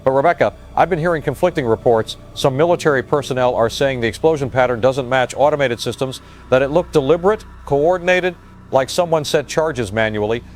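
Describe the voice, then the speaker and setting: A man's voice: medium pitch, a little fast, slight background noise, natural voice. A man playing a grave, shaken field reporter giving a hesitant eyewitness report in an audio drama. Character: shaken male field reporter at disaster scene.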